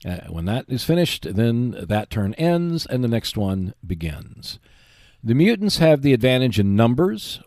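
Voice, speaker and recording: A man hosting a board game podcast, delivering a prepared monologue in a measured, clearly enunciated presenter style. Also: on the low side, darkish tone, no echo, clean studio sound. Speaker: podcast host.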